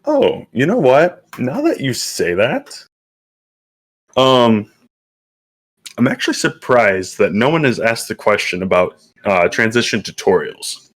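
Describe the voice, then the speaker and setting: A man being interviewed on a live stream, telling joking stories in a relaxed way. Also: medium pitch, measured pace. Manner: joking, storytelling, easy laughs. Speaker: guest, content creator. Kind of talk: casual interview answers.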